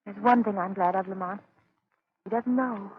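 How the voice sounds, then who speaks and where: A woman's voice: medium pitch, moderate pace, slightly rough. Anxious compassionate lady, earnest, dramatic, 1930s radio acting, dull old recording. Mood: worried, earnest.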